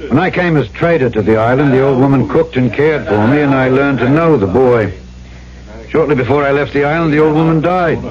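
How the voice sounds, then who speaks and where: An actor playing an earnest, courteous trader and narrator in an old-time radio drama, with a polite, measured delivery. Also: male voice, mid-range voice, a crowd in the background, natural voice. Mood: sober, firm. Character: earnest, courteous male trader newly arrived on island, narrator. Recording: dull old radio recording, music behind.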